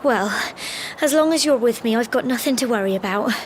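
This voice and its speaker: High-voiced young village girl played by an actress. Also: fairly quick, slight background noise, lightly acted. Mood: chatty, upbeat. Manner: chatty, expressive, folksy turns of phrase. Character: cheerful plucky village girl on coming-of-age climb. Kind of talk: video game cutscene voice acting. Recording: game music behind the voice.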